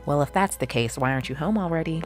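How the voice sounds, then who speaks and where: A woman's voice: deep voice, quick pace, soft background music, natural voice. A woman voicing a middle-aged mother in an audiobook, chatty, blunt and insistent. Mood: chatty, fussing. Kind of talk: audiobook character voice.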